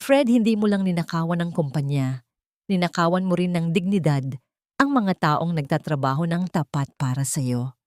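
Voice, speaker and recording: A woman's voice: on the low side, lively intonation, moderate pace, darkish tone, dry recording, clean studio sound, natural voice. Woman voicing a cold, commanding Filipino chairwoman. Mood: cold, firm. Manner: firm, commanding. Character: cold, powerful young billionaire chairwoman.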